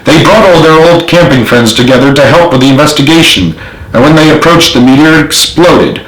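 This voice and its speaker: An earnest army sergeant, voiced by a man reading a storybook speaks with commanding emphasis. The pitch sits raised, and the room adds clear echo. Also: a little fast, a bit bright, close to an everyday voice. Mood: earnest explaining.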